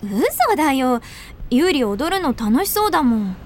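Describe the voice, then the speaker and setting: A woman's voice: sits high, very animated, unhurried, darkish tone, slight background noise. An actress playing an earnest, persistent, direct teenage schoolgirl learning ballet, in radio drama dialogue and narration. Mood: probing.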